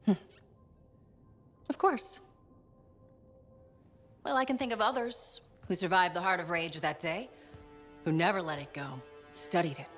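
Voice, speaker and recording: An actress playing a composed, guarded spymaster in a video game, speaking in a measured, commanding manner. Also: female voice, on the low side, a bit bright, soft background music. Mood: grave, urgent. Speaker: game character, intelligence chief. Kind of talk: cinematic game voice acting.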